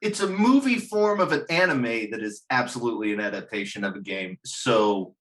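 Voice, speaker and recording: A man hosts a relaxed group chat. His voice sits at a middle pitch and moves around in an animated way. He jokes along and is quick to laugh. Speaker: host of a gaming chat show.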